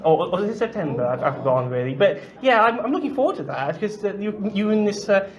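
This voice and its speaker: A woman's voice: medium pitch, very animated, quick pace, lo-fi sound. A woman doing an informal interview at a convention, hesitant and stammering, often repeating words. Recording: busy crowd chatter behind. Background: crowd chatter.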